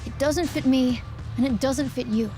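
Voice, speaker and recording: A woman's voice: high-pitched, normal speed, warm tone, faint music behind, lightly acted. A woman playing a principled, defiant young wrestler in a video game cutscene, speaking with earnest emphasis. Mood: firm, impassioned.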